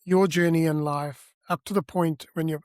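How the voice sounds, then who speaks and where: A man's voice: on the high side, steady tone, darkish tone, no echo, studio quality. Earnest male podcast host. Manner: earnest, slightly halting with restarts. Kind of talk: scripted introduction then interview questions.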